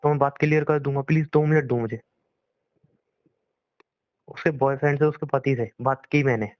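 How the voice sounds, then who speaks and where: A man's voice: mid-range voice, no room sound. A young man arguing in a private phone conversation, insistent and pleading, often repeating himself. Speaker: boyfriend on a phone call.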